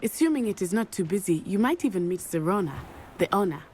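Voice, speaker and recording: Animated schoolgirl played by a woman. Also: mid-range voice, fast, a little hiss or hum, lightly acted. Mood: friendly. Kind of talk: video game cutscene acting.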